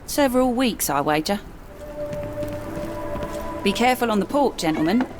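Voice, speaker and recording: A woman's voice: mid-range voice, expressive, normal speed, loud music behind the voice, close to an everyday voice. A woman voicing a guarded working-class riverside informant in a video game. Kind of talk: dramatic video game cutscene acting.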